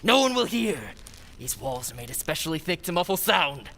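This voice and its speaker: A man's voice: on the high side, big pitch swings, normal speed, exaggerated voice. An actor playing a mild-mannered man who turns into a cackling sadistic killer, in an old-time radio style. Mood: menacing. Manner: mild and hesitant, then gleeful and shouting. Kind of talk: 1940s radio acting.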